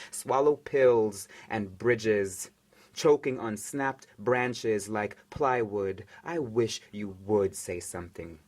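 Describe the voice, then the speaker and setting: A young man performs a spoken word poem in a mid-pitched voice. His delivery is dramatic and rhythmic, dropping to hushed, intimate lines and then rising to loud, emphatic declarations.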